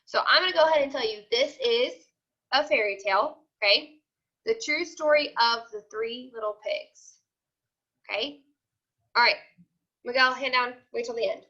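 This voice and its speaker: A woman's voice: fairly high pitch, moderate pace, pauses often, sharp tone. A woman teaching children in an informal online class, explaining things step by step and often checking they follow. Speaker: elementary school teacher. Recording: dull, lo-fi video call audio.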